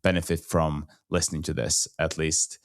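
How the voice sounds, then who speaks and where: A man's voice: very deep voice, level tone, moderate pace, dry recording, clean studio sound. A man with a Norwegian accent, hosting a podcast in a casual, conversational way. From Norway. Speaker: podcast host.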